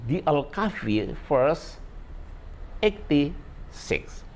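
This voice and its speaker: Slow, deep-voiced woman reading a quote. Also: big pitch swings, a little mellow, a little hiss or hum.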